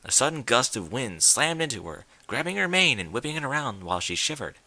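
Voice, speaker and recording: Male audiobook narrator: forceful, animated delivery, dry close sound. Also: medium pitch, fairly quick, dry recording. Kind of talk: scripted story narration.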